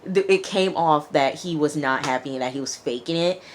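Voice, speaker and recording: A woman's voice: low voice, moderate pace, a little hiss or hum. A woman giving a casual, conversational and opinionated reality TV recap, acting out what people said as she goes. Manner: conversational, opinionated, acts out quotes. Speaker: TV show reviewer.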